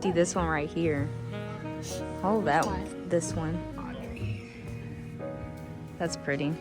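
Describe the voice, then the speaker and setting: A young woman vlogging in a chatty, casual way, her voice raspy from a sore throat, laughing often and trailing off with fillers. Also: sits low, steady tone, slow pace, over loud music. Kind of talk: casual vlog chat.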